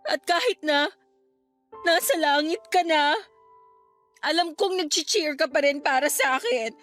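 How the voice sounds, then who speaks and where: A woman's voice: high voice, lively intonation, unhurried, fairly bright tone, soft background music. A young Filipino woman acting in a radio drama as a devoted graduate grieving her late best friend, earnest and sentimental. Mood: tearful devotion. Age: young adult.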